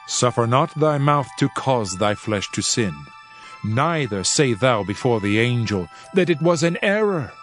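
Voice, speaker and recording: Solemn man reading scripture. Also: medium pitch. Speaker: scripture reader. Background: faint background music.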